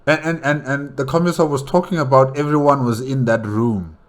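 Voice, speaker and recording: A man's voice: medium pitch, a little fast. A man giving casual reaction commentary, thinking aloud with many questions and repeated words. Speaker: reaction video host.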